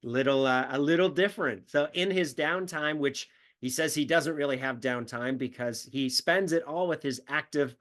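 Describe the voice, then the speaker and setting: A man with a slightly high voice hosts a casual business interview. He is friendly and laughs easily, keeping the chat light between questions. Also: normal speed, fairly bright tone. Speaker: podcast host, business coach.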